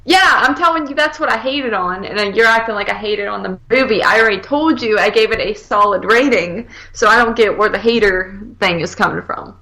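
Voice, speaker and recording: A woman co-hosting a podcast in casual movie chat, blunt and slangy, quick to argue her point. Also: medium pitch, sharp tone, rough recording. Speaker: podcast co-host.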